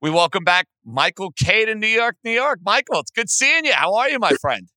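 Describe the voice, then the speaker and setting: A man talks sports as a podcast host in a booming, forceful, very bright voice. It sits high for a man, with emphatic, punchy phrasing. The sound is dry and close. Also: big pitch swings, fairly quick, dry recording. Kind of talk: energetic sports commentary and interview.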